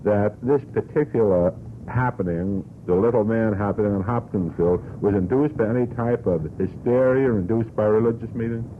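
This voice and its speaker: A man with a lowish voice talks on a radio panel. He is formal and wordy, stating his opinions at length. The old recording is thin and narrow, with some noise underneath. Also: a little fast. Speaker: radio panelist questioning a guest. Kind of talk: unscripted radio panel discussion. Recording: tinny, noisy vintage radio broadcast.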